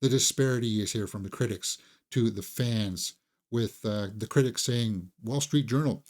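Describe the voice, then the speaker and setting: A man's voice: lowish voice, normal speed, a little mellow. A man hosting a casual, conversational film review, enthusiastic and opinionated, sometimes repeating words as he thinks aloud.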